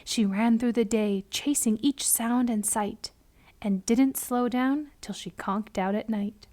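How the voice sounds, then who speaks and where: A woman reading a children's story aloud expressively, with deliberate emphasis on key words. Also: fairly high pitch, fairly even intonation, dark tone. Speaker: storybook reader.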